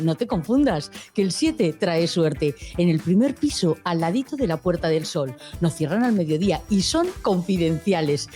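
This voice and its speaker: Female ad announcer; low, mellow, persuasive, over music. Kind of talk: scripted ad read.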